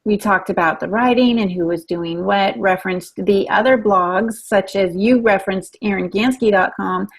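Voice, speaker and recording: A woman's voice: mid-range voice, normal speed, hardly any pauses, slightly rough. A woman co-hosting a podcast, chatting casually and trading playful banter. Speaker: podcast co-host.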